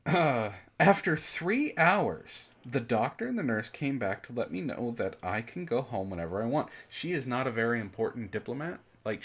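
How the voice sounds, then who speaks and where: A man with a husky voice reading a story dramatically and breaking in with sarcastic, exasperated commentary. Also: mid-range voice. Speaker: book club reader and commentator.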